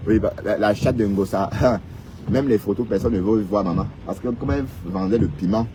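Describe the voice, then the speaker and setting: A man's voice: lowish voice, moderate pace. Teasing Cameroonian man on a noisy live stream. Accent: Cameroonian French. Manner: teasing, mocking, peppered with "hein". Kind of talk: casual live-stream rant.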